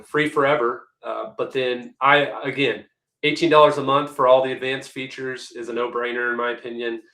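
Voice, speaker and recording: A man's voice: medium pitch, fairly bright tone. Chatty man presenting a webinar, echoey. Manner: chatty, conversational, many restarts. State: hoarse, losing his voice. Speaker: webinar presenter, executive assistant.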